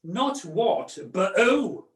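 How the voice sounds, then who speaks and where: A roomy home video-call recording of a man as a brash pantomime dame. The voice is high and unhurried, full of cheeky, theatrical patter for a woman selling potions. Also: expressive, exaggerated voice. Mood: cheeky, bargaining. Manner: theatrical, bantering sales patter. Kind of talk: amateur pantomime acting with comic songs. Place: at home, indoors.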